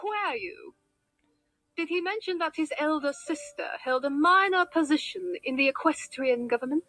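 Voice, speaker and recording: A woman's voice: high voice, normal speed, a bit bright, no room sound, low-quality recording. A woman voicing a refined, condescending elder-sister pony in a cartoon, formal and arch in her delivery. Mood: smug. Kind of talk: cartoon detective drama acting. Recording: music behind.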